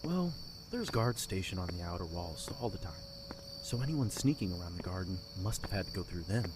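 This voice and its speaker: An actor playing a confident young castle guard in an audio drama, talking in a teasing way. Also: male voice, deep voice, sing-song, fairly quick, noisy background. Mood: easygoing.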